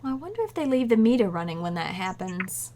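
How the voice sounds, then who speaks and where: A woman's voice: medium pitch, sing-song, a little fast, warm tone, slight background noise, natural voice. A woman playing a reassuring, wisecracking wife and friend in old-time radio comedy style. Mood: reassuring, wry.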